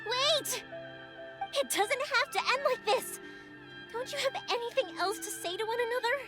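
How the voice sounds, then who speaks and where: A woman's voice: extremely high pitch, moderate pace, music in the background. A woman voicing a spirited, bold young woman who makes fireworks, in video game dialogue. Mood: pleading. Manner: bold, bubbly, insistent.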